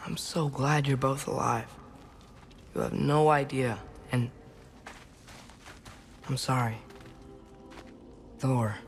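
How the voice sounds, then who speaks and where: Earnest, slow-spoken teenage boy. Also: mid-range voice. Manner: halting, sincere, soft-hearted. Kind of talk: fantasy video game drama.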